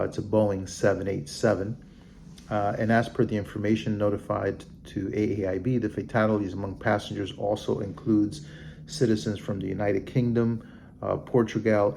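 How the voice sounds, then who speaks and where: Man, lowish pitch, creaky voice, halting report reading, informal asides, background noise. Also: darkish tone. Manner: halting, stumbling over names and terms. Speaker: vlog host. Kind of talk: reading a report aloud with off-the-cuff comments.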